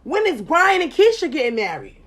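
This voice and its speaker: A woman hosting a casual podcast chat, animated and profane, her voice jumping loud and high when she gets excited. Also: a little fast, a loud crowd. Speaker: podcast host.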